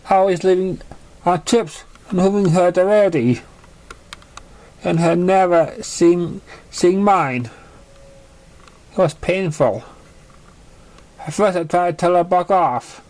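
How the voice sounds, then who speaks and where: A man voicing a young orphaned pony girl, wounded but plucky, telling her story haltingly in an amateur fan fiction reading. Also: high-pitched, darkish tone.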